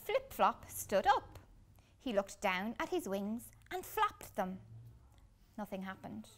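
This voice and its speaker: Slow, animated woman reading a storybook. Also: medium pitch. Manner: deliberate, dramatic pauses for young listeners. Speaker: children's storytime reader. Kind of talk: expressive picture-book reading. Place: small furnished room indoors.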